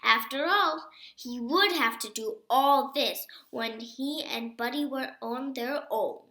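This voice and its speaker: Female storybook reader: bright, unhurried, expressive and emphatic phrasing, echoey home recording. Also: sits high. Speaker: reader of a children's book. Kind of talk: reading a storybook aloud.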